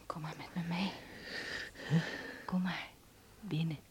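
A woman acting in a radio drama as a warm, seductive village woman with a soft, coaxing manner. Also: low voice, steady tone, slow pace. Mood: hushed, seductive. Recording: noisy old radio play recording.

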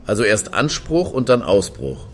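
An actor playing a crude, grumbling, pedantic clubgoer in a satirical radio play, blunt and opinionated. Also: male voice, lowish voice, big pitch swings, moderate pace, a little hiss or hum. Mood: sceptical.

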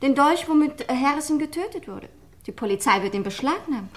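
A woman's voice: high voice, expressive, a little fast. A woman playing a flippant upper-class niece in a radio crime play, pointed and lightly mocking. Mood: teasing, pointed. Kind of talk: old German radio play acting.

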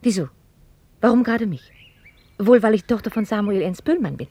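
An actress playing an outspoken young heiress in a radio drama, wry, articulate and playfully self-mocking. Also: female voice, mid-range voice, expressive, a little fast, dark tone, breathy edge, dry recording. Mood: playfully apologetic. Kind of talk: German radio drama acting.

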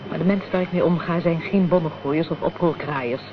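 A woman's voice: low-pitched, fairly quick, close to an everyday voice. A woman playing a guarded, proud widow in a Dutch radio drama. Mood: earnest, firm. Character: guarded, proud widow devoted to republican politics. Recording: dull, noisy old radio recording.

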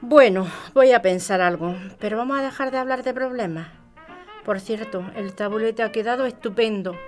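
Una mujer que interpreta a una madre de mediana edad, atenta y preocupada, con tono conversacional en una radionovela. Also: female voice, on the high side, normal speed, a little mellow, faint music behind, natural voice. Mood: worried, confiding. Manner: concerned, conversational. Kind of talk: radio drama acting.

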